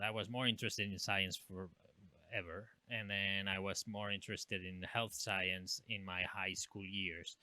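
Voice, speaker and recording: A man from Spain with a Spanish accent, a veterinarian answering interview questions in a halting way, full of fillers and restarts. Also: fairly low pitch, pushed and bright, dry recording. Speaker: veterinarian guest. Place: equine hospital.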